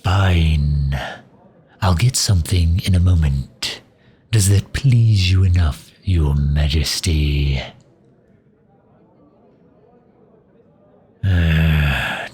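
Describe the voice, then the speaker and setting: A man playing a loyal, sharp-tongued mage advisor in an ASMR roleplay drama, with a dry, sardonic, drawn-out delivery. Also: rumbling low, husky, full character voice. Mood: weary, irritated.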